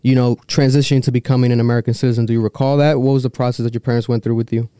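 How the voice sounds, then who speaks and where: A man hosting a casual interview, hyping up his guest with an animated, laughing delivery. His voice is mellow and smooth, recorded dry and close. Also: medium pitch, rapid-fire, no room sound. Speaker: podcast host.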